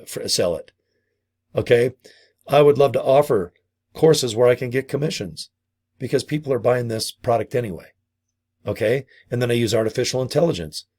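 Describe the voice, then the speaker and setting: A middle-aged man with a hoarse, gravelly voice from a vocal cord injury, casually pitching his online business and often calling his viewers "guys". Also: darkish tone. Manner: chatty, repeats "guys" and "okay". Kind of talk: casual sales pitch to camera.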